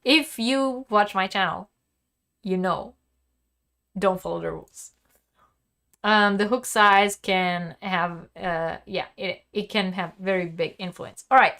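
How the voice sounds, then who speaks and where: A woman's voice: mid-range voice, takes it slowly, fairly bright tone. A woman teaching crochet on a live stream, chatty and laughing, who says she is losing her voice and keeps coughing. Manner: chatty and laughing, with many fillers and restarts. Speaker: crochet teacher and channel host. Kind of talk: live-streamed craft lesson, answering chat.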